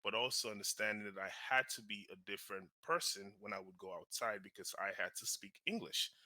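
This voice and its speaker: Man from Nigeria, low voice, very bright and forceful tone, dry close sound, interview guest, animated storytelling. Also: a little fast, no room sound. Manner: animated, frequent fillers and restarts. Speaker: guest, real estate investor and author.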